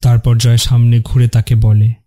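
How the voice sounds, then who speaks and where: A man narrating a scripted dramatic story in measured, separate phrases. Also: mid-range voice, little pitch movement, dark tone. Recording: music under the narration.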